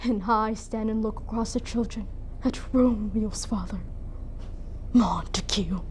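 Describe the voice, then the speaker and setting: A woman's voice: fairly high pitch, unhurried, darkish tone, a little hiss or hum, lightly acted. A woman performing a dramatic monologue as a grieving, bitter, proud young noblewoman mother, with an emotional theatrical delivery. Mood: grieving, stunned. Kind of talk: dramatic monologue for speech competition.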